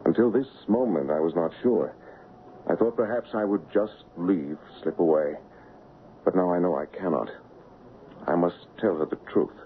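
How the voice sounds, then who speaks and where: Deep-voiced, lovesick royal impostor, old radio. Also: male voice, normal speed, a little hiss or hum. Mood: resigned, earnest. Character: lovesick honorable English royal stand-in, noble and troubled. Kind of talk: radio drama acting and first-person narration. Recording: muffled vintage radio broadcast, music behind.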